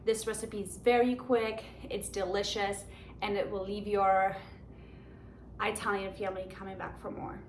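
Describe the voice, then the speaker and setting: A woman's voice: mid-range voice, level tone, moderate pace. A woman with a Polish accent hosting a home cooking show, giving chatty, enthusiastic step-by-step instructions in her kitchen. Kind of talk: cooking demonstration. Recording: echoey kitchen with background noise.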